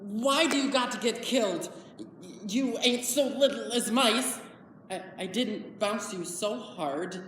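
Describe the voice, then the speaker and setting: A woman's voice: a little high, slow pace, fairly bright tone. A woman playing a simple-minded, anxious farmhand man on stage, with plaintive rustic speech. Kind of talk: dramatic stage monologue. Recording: echoey hall, far from mic, noisy.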